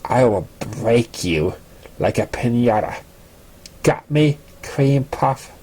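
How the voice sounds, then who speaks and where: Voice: mid-range voice, lively intonation, slow pace, darkish tone, slightly rough, rough recording. A narrator voicing a brash, protective young pony who threatens and insults cockily in a storybook reading. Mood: threatening.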